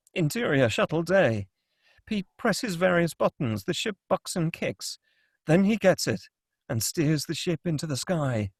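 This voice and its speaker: A man's voice: fairly high pitch, no room sound, clean studio sound. A man reading scripted screenplay action and scene headings as the narrator of a table read. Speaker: narrator reading stage directions.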